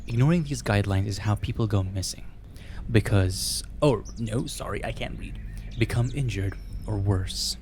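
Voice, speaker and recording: A man's voice: lowish voice, darkish tone. A man hosting a game playthrough, reading a visual novel aloud with wry, self-deprecating casual commentary and a slightly scratchy throat. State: scratchy throat, frequent throat clearing. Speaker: let's play host. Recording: music playing behind the voice. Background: background music.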